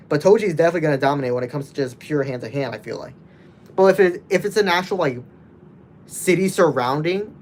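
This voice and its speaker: A nineteen-year-old man casually chatting with his livestream viewers, rambling loosely with many restarts. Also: a little high.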